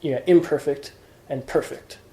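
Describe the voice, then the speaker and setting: A man's voice: a little high, fairly even intonation, a little slow, mellow, reverberant, poor audio. A man teaching introductory Greek grammar in an informal lesson, explaining patiently step by step with examples. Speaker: Greek tutor.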